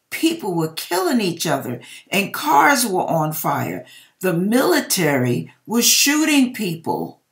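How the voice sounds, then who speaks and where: A woman's voice: fairly low pitch, fairly bright tone. A middle-aged woman with an American accent reading aloud dramatically and talking directly to viewers in a theatrical, emphatic way, drawing out words, in a home kitchen. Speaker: host reading viewers' dream accounts.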